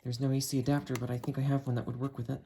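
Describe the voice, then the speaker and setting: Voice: medium pitch, little pitch movement, racing, dark tone. A person giving a casual, chatty hands-on product review, talking while handling the item. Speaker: host of a gadget review video. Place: indoors, room at home. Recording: noisy home recording, clicks and handling.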